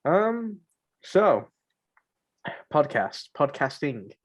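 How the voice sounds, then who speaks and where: A man's voice: fairly high pitch, very animated, very slow. A young man co-hosting a podcast in casual banter, joking and rambling, with slangy phrases he often repeats. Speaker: podcast co-host.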